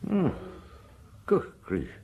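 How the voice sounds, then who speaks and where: A man's voice: drawn-out words, darkish tone, slight background noise. An actor playing a wry French police detective in his fifties in an audio drama, with a dry, musing manner. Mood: wry reminiscing. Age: 50s. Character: wry fifty-year-old French police detective on holiday. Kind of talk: audio drama dialogue and storytelling.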